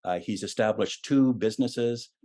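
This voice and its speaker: A man's voice: on the low side, moderate pace, mellow. A man hosting an online ministry event, introducing and interviewing a guest in a friendly, easygoing way. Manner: friendly, easygoing, with frequent "uh" fillers.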